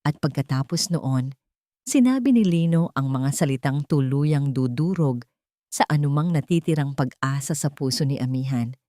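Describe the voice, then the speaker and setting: Filipino woman reading a dramatic love story, deep-ish voice, really dry sound no room. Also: animated, moderate pace, warm tone, no room sound. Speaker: story narrator. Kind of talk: dramatic scripted narration of a romance story.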